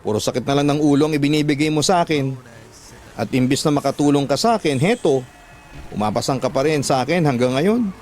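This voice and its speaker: A man's voice: a little high, fairly quick, darkish tone, natural voice. A man plays a male friend turned live-in lover in a radio drama. He speaks defensively and with remorse that can harden into resentment, with prominent music behind him. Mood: resentful complaint.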